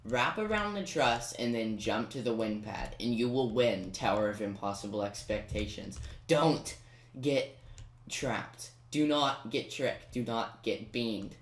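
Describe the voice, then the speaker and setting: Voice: medium pitch, measured pace, roomy sound. A gaming commentator giving casual step-by-step gameplay guidance, informal and talking directly to the viewer. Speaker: video game commentator.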